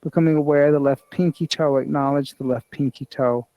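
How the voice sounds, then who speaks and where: Higher-pitched man guiding a meditation, dry sound. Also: steady tone, no room sound, highs cut off. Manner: repetitive, measured instructional phrasing. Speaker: yoga nidra instructor. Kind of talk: guided meditation.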